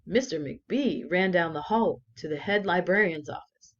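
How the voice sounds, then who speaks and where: Casting: female story reader, lowish register, lively expressive delivery, deliberate emphasis, slightly lo-fi recording. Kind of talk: expressive read-aloud storytelling.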